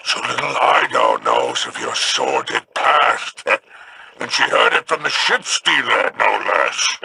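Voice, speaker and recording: An actor voicing a scheming alien crime boss in a video game, with a gravelly, sneering voice. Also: male voice, medium pitch, sing-song, slow pace, noisy background, exaggerated voice. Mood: mocking.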